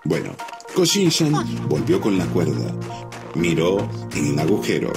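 Male narrator, deep-leaning voice, very expressive intonation, children's folk tale, prominent music behind. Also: unhurried, gravelly.

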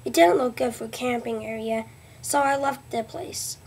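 A woman's voice: a little high, creaky, slightly rough. A teenage girl playing the Viking explorer Leif Erikson for a school report, reading earnestly with many restarts, recorded on a webcam in a small room. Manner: reads haltingly with restarts. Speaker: student presenter. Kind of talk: school history report in character. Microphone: webcam at close range.

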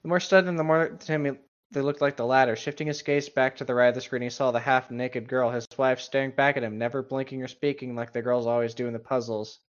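A man's voice: mid-range voice, fairly even intonation, fast. A man reading a story aloud casually and breaking off for irreverent, sweary commentary. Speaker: co-host reading stories aloud. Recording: dull, lo-fi microphone.

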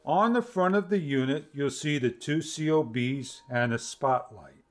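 A man in his fifties giving an instructional product demonstration to camera, with halting phrasing. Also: a little high. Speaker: product reviewer. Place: small home studio room, indoors.

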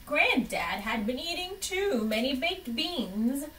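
A young woman reading a storybook aloud to children in an expressive, deliberate way. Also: sits high, slight background noise. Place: small room at home, indoors. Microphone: camera microphone from across the room. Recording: distant microphone in a room.

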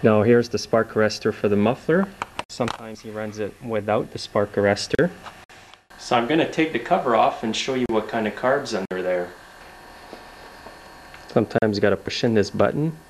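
A young man hosting a casual show-and-tell video in his garage workshop, explaining in a relaxed, plain-spoken way. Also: on the low side, moderate pace, pauses often, slight background noise, low-quality recording. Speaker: video host. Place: home garage workshop, indoors.

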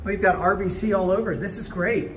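Brief: upbeat male host, high voice, chatting on a gallery walk, roomy sound, faint hum behind. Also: a little fast, recorded from a distance. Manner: chatty and enthusiastic. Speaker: host of local video tour. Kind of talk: casual chat. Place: art gallery, indoors.

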